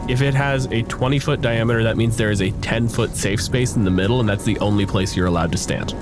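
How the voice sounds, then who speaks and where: Low-voiced male game master over loud music. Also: quick pace. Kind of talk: casual rules rulings and scene narration. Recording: loud music bed under the voice.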